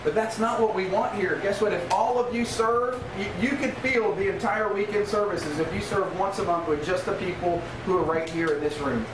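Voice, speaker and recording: A man's voice: sits high, normal speed, hardly any pauses. A middle-aged American man preaching an informal sermon as a pastor, emphatic and conversational, checking in with rhetorical questions. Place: small church room in a converted bar, indoors. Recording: roomy, distant mic, noisy background.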